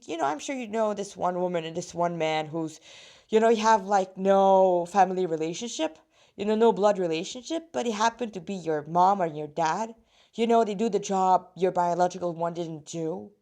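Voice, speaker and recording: A woman reads her own story aloud in a medium-pitched, slightly rusty voice. She is casual and chatty, and she tends to ramble, stopping partway through sentences to add asides before picking up again. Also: normal speed, mellow. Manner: rambling, digressive, often stopping mid-sentence to comment. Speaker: fan fiction author reading her story aloud.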